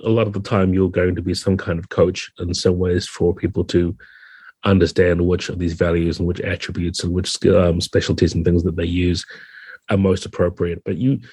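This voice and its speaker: A man with a deep voice talks through his ideas in a conversational interview. His delivery is level, with little melodic movement, and the recording is close and dry. He explains thoughtfully, qualifying points as he goes. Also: flat delivery, moderate pace, darkish tone, husky, no room sound. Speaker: game designer, podcast guest. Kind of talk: conversational interview answers, explaining game rules.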